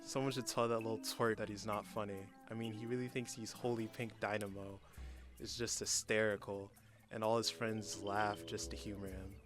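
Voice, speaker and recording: A man's voice: on the low side, level tone, normal speed, over music, natural voice. A man playing a petty, resentful supervillain who gripes in a comedic radio play. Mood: resentful griping. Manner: griping.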